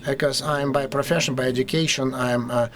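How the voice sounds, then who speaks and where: A Russian man with a Russian accent, speaking as a panelist at a conference discussion, halting and full of fillers and restarts. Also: mid-range voice, fairly even intonation, a crowd in the background.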